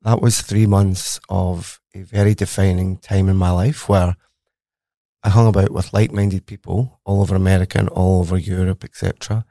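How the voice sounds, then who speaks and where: A man's voice: sits low, flat delivery, moderate pace, creaky, warm tone, no room sound, studio quality. A middle-aged man with a Scottish accent, a scientist guest in a podcast interview, telling his story eagerly and conversationally. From Scotland.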